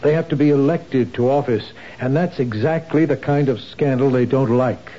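An actor playing a kind, patient husband who gently questions his wife, in a 1970s radio drama. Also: mid-range voice, normal speed, lightly acted. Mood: gentle. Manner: gentle, reassuring, probing. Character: kind, patient husband, city office worker. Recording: dull old radio broadcast.

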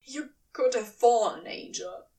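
A woman's voice: a little high, measured pace, mellow, far from the mic. A woman voicing a male demon who is earnest, defensive and wry in an audio story. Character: male demon, defensive, apologetic, wryly teasing.

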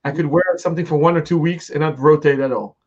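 A man's voice: a little high, quick pace, dull audio. A man hosting an interview, talking casually with frequent fillers and restarts. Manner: relaxed, rambling with restarts and fillers.

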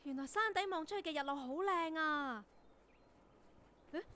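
A woman's voice: high-pitched, moderate pace, sharp tone, slight background noise. A woman acting in a Cantonese radio drama as a perky, plucky young intern, chatty, blunt and full of exclamations. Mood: spirited. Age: young adult.

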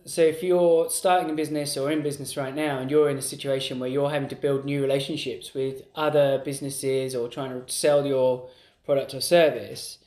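A man's voice: mid-range voice, fairly quick. Chatty man in an echoey room. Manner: animated, acts out quoted voices. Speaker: podcast co-host. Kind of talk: casual conversational chat. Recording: roomy echo.

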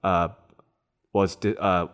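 A Korean-born man in his thirties, talking as an interview guest in a relaxed, reflective way with many fillers. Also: low voice, slow, clean tone. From South Korea. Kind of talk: casual conversation.

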